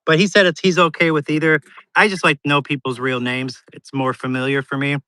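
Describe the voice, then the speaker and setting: A man's voice: a little high, little pitch movement, brisk, bright, forceful tone, clear, resonant tone, dry recording, low-quality recording. A man chatting as a guest on a livestream, rambling casually and laughing often. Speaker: guest streamer.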